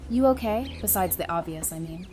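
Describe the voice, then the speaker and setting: A woman's voice: fairly low pitch, lively intonation, a little fast, a little mellow, slight background noise, natural voice. An actress playing a blunt, weary woman survivor of a zombie apocalypse in an audio drama, with an exasperated, direct manner. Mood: concerned.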